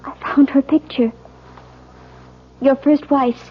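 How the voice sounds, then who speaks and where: High-pitched, distraught young wife. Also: female voice, steady tone, takes it slowly, slight background noise. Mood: accusing, agitated. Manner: intense, theatrical delivery. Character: distraught young newlywed wife, suspicious of her husband. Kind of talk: 1970s radio drama acting. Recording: muffled vintage radio recording.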